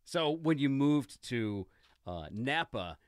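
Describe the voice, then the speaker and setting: An interviewer on a casual podcast, with a mid-pitched voice that moves up and down a great deal. Questions come informally and sometimes trail off. The sound is very dry and close. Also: very animated, slow, smooth, dry recording.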